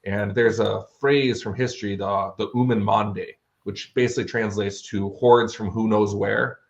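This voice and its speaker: A man with a fairly low voice talks as a guest author in an interview. He explains things in an articulate, bookish but conversational manner. The recording is a little dull and lo-fi. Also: fairly even intonation, moderate pace, vocal fry, slight background noise. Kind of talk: conversational explanation of fantasy worldbuilding.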